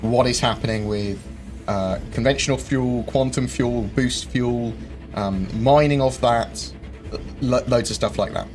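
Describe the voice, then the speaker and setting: A man hosting a live-stream Q&A, rambling through viewer questions with lots of ums and quick jokes. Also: mid-range voice, darkish tone. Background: faint game music.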